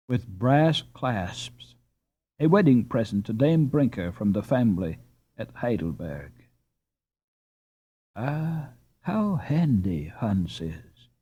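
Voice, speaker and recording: Slow mellow male storybook narrator. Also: medium pitch, lively intonation, muffled recording. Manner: measured, unhurried reading. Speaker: audiobook narrator.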